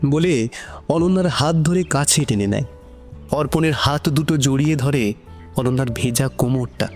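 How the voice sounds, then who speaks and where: A man's voice: medium pitch, normal speed, darkish tone, soft background music. A man narrating an emotional romantic story from a script.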